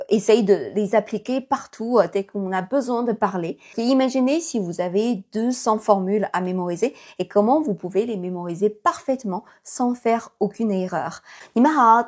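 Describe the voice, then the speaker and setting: Breathy woman teacher. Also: medium pitch, a little mellow. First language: Chinese. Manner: many fillers, thoughtful, encouraging. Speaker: Chinese teacher. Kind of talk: casual video lesson.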